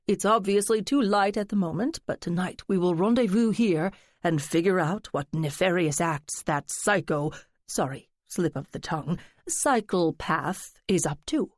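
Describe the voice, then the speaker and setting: A woman's voice: on the low side, measured pace, no room sound, studio quality. A woman narrator voicing a flamboyant, dramatic man who drawls "darling" and talks with theatrical flourishes. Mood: conspiratorial. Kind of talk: audiobook character voice.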